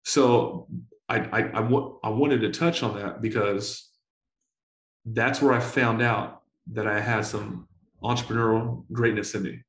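A man in his forties telling stories in a casual interview, full of slang and swearing, excitable and often repeating himself. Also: on the low side, measured pace, echoey room. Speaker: entrepreneur guest.

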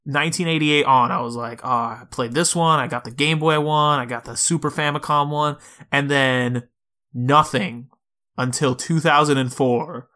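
A man with a bright, forceful voice at a middling pitch talks casually about games. He comes across as an informal podcast co-host, chatting with a peer and free with mild profanity. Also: fairly even intonation, normal speed. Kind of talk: casual gaming podcast chat.

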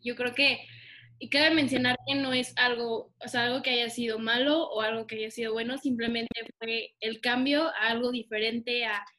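A woman's voice: fairly high pitch, fairly even intonation, moderate pace, sharp tone, low-quality recording. A young woman from Mexico co-hosting a casual podcast, giggly and hesitant with many fillers, over a remote call. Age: young adult. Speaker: podcast co-host. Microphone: remote call recording.

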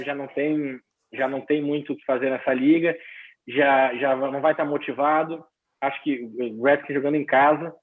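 Joking man on a tinny line. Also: fairly high pitch. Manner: joking, rambling with restarts. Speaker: podcast co-host, NFL picks. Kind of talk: casual sports chat.

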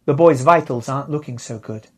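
A man voicing a practical, watchful female spaceship companion in an audiobook, brisk and matter-of-fact. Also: medium pitch, darkish tone, pitched above the performer's own voice, natural voice. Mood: concerned.

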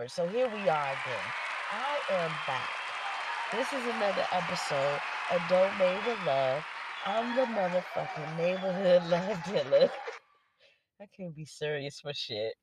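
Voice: mid-range voice, expressive, unhurried, over a noisy crowd, heavy background noise. A podcast host with a stuffy nose, rambling playfully and swearing freely in a casual solo monologue from inside a parked car at night. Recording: music playing behind the voice.

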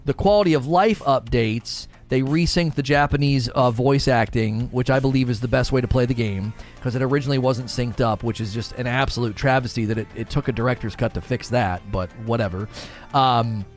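A man's voice: medium pitch, fairly quick. A man talking casually as the host of a daily livestream gaming talk show. He rambles eagerly, repeats phrases and answers viewer chat, with a mid-range voice over faint background music. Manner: enthusiastic, rambling, repeats himself.

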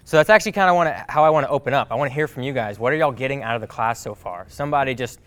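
A young man giving a lively, joking talk to a class in a meeting room. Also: mid-range voice, racing. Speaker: speaker.

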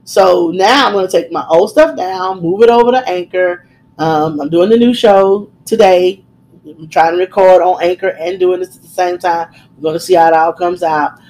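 A middle-aged woman hosting a podcast, talking in a chatty, excitable way with frequent ums, her voice congested from a cold. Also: on the low side, moderate pace. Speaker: podcast and radio host. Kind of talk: casual podcast chat.

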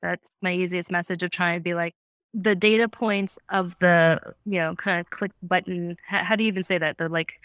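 A woman's voice: lowish voice, fairly even intonation, normal speed, a bit bright, no room sound. A woman speaking as a guest researcher in a casual chat, rambling and thinking aloud with many fillers. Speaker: podcast guest, survey researcher.

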